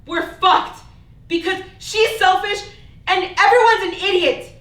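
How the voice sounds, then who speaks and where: Woman acting high-pitched older sister, scornful, exasperated, foul-mouthed, stage play reading, roomy echo. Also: a little slow, a bit bright. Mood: angry, panicked. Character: bitter, sharp-tongued older sister, foul-mouthed and scared. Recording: distant mic, echoey room, faint noise.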